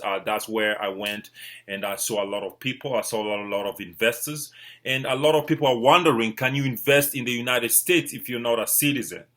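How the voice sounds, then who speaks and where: A man's voice: on the low side, fairly bright tone. A man from Kenya with a Kenyan accent, a real estate agent giving an informal explanatory presentation in a repetitive, emphatic way with frequent check-in words.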